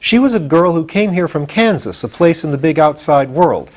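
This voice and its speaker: Man acting a bright-spirited, high-pitched storybook boy. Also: expressive, moderate pace. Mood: explaining. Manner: eager, matter-of-fact explaining. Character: confident, explaining farm boy, proud and adventurous.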